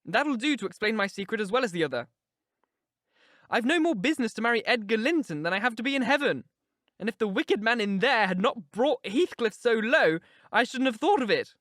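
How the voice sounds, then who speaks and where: A reader voicing a headstrong young woman, pitched well above the narration and pushing hard on her words. She is impetuous and declamatory, caught up in passion. The recording is dry and close, with no room around it. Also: expressive, brisk, fairly bright tone, dry recording. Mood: yearning. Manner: intense, emphatic, declamatory. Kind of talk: audiobook character reading.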